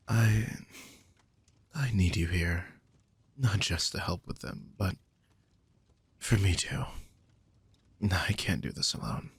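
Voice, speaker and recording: A man playing a guilt-ridden, tender supernatural protector in an intimate audio drama, speaking gently and hesitantly with a husky, breathy voice that slips toward whispers. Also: deep voice, slow, pauses often, no room sound, full character voice. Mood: vulnerable, pleading. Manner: gentle, hesitant, sighing delivery.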